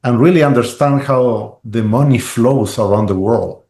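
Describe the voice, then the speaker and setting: A man's voice: mid-range voice, moderate pace, dark tone. A man. He talks in loose, rambling runs full of restarts and "right?" tags. His voice sits in a roomy, echoing space. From Chile. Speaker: guest. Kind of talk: podcast interview.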